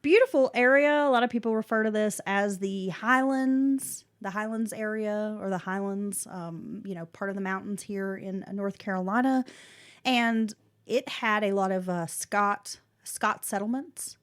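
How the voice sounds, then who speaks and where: A woman's voice: mid-range voice, unhurried. A woman, co-hosting a podcast and telling a history story in a casual way, with fillers and restarts. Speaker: podcast co-host. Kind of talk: casual chat and story narration.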